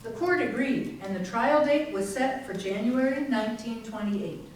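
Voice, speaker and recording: A woman's voice: on the high side, normal speed, darkish tone, lightly acted. A woman playing an indignant investigator, giving pointed, emphatic documentary narration in a readers theater. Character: indignant female investigator exposing a company's wrongs. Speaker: community theatre actor. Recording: very echoey room, distant mic, faint noise.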